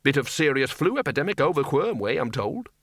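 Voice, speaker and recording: A man doing a blunt, loud old wizard dean. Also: medium pitch, very animated, a little fast, no room sound, studio quality.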